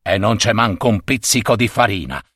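A man's voice: lowish voice, animated, normal speed, a bit bright, no room sound, studio quality. A man voicing a grumpy middle-aged newspaper foreman who growls his orders. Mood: gruff. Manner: growling, curt.

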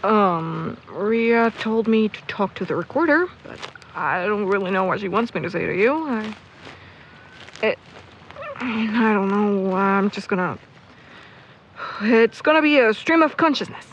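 A woman's voice: medium pitch, animated, unhurried, pauses often. A woman playing a pessimistic, sardonic young searcher in an audio drama, skeptical and natural in delivery. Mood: awkward. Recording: muffled, with clear background noise.

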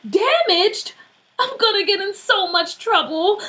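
A woman's voice: high voice, very animated, moderate pace, a bit bright, voice pushed up. A woman voicing an eager, dramatic, headstrong young schoolgirl in a children's story. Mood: upset. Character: headstrong excitable schoolgirl wanting straight hair.

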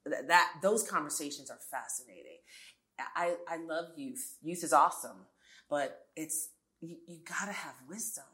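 A woman in her forties with a low-pitched voice speaks as an interview guest. She talks earnestly and emphatically, often restarting her sentences and adding asides. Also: expressive, moderate pace, pushed and bright. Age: 40s. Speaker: guest, tech entrepreneur. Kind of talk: conversational interview.

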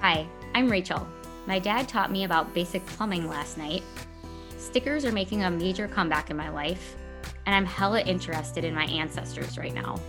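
A woman's voice: on the low side, normal speed, music in the background. A woman co-hosting a podcast in casual, unscripted chat, with informal, slangy, sweary phrasing. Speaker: podcast co-host.

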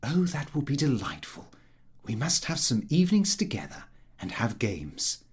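A man voicing a mild, protective middle-aged wife and mother in an audiobook, genteel and gently chiding. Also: medium pitch, wildly swooping pitch, moderate pace, husky, exaggerated voice. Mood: pleased. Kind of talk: audiobook character dialogue.

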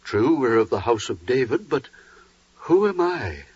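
A man's voice: mid-range voice, sing-song, a little slow, a little mellow, breathy edge, no echo, heavily acted. An actor playing a blustering, bewildered father of a young maiden in 1940s radio drama style. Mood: awed, doubtful. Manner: agitated, exclamatory, bewildered. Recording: dull, muffled 1940s radio recording.

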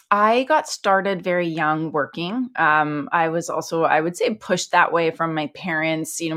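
A woman in her forties, an investor guest, telling her career story in a chatty way full of fillers and quick restarts. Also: lowish voice, fairly bright tone. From North America. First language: Italian. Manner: chatty with many fillers, voices others in quotes. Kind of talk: casual conversational storytelling.

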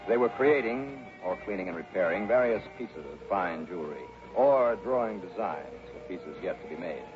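Casting: a hard-boiled American detective, brisk and wry, big swings in pitch, muffled vintage broadcast. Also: male voice, animated. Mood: brisk narrating. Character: wry, confident male private investigator narrating his case. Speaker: lead actor and narrator in a radio detective drama. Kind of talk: 1960s radio detective drama acting. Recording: dull vintage radio recording with music.

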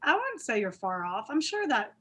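A woman's voice: fairly high pitch, sing-song, normal speed, a bit bright, husky. A woman guest on a podcast making blunt, dry asides in casual chat. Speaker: podcast guest, bra company founder.

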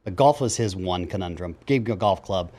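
A man in his fifties talks quickly as a guest on a chat show, his voice sitting low. He tells stories with energy and acts out what others said. The style is relaxed, conversational interview talk. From grew up in Japan and Germany. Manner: animated storyteller, acts out quotes. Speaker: guest, psychologist.

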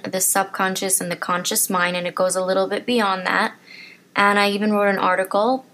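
A woman's voice: mid-range voice, creaky, fairly bright tone. A young woman hosting a solo podcast, talking earnestly and encouragingly to her listeners while losing her voice. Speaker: podcast host. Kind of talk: motivational solo podcast talk.

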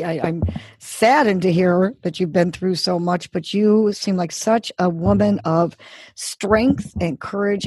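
Encouraging woman host, husky and dry. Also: lowish voice, no room sound. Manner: warm, encouraging, effusive. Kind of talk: interview hosting.

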